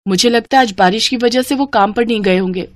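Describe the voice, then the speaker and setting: A woman voicing a kind young vegetable seller in an animated story, speaking brightly and eagerly. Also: a little high, quick pace, no echo, clean studio sound, natural voice. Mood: reassuring.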